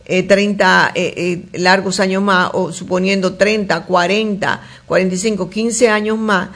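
A woman gynecologist on a call-in radio show, reading listeners' questions aloud and answering them in a warm, chatty way with many fillers and restarts. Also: mid-range voice, steady tone, a little slow. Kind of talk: call-in health advice show.

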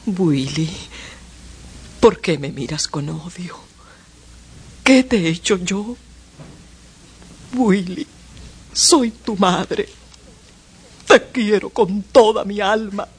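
A woman's voice: a little high, husky. A grieving mother. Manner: melodramatic, tearful pleading. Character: birth mother, desperate and grieving. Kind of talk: radio drama acting.

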